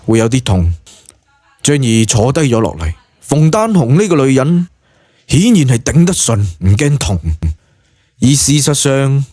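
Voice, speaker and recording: A man's voice: medium pitch, a little mellow, no echo, studio quality. A man with a Cantonese accent narrating a scripted story in a dramatic audiobook style.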